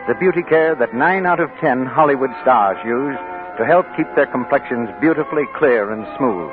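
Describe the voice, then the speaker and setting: Crisp male announcer, lively intonation, tinny 1940s radio over music. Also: medium pitch. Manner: crisp, brisk, formal. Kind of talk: formal closing announcement.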